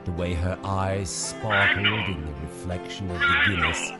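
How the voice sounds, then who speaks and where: An actor with an English accent playing a weary, exasperated male spy in a comic radio play, expressive in narration and dialogue. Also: deep voice, unhurried. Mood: narrating, dramatic. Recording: music behind the voice.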